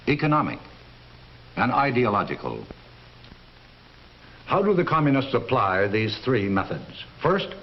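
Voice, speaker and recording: An American man reads formal, emphatic, measured scripted narration for a 1960s documentary. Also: medium pitch, slight background noise. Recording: dull vintage film soundtrack, faint music.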